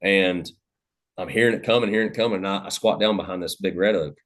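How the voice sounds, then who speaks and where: A man, a podcast host telling a hunting story in a loud, animated, cursing way. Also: low voice, quick pace. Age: 30s. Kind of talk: casual storytelling chat.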